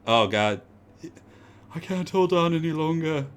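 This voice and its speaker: A man casting a strategy game tournament live and chatting with viewers, his slightly raspy voice getting hoarse, with excitable loud outbursts. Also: a little high, a little slow. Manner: excitable, breaks into loud exclamations.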